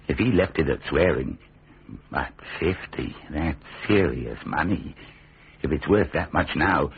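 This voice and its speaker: A cagey jailer in a radio play, really husky, tinny narrow recording. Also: male voice, deep voice, full character voice. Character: wary, self-preserving common jailer, outwardly obedient. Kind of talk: radio drama acting.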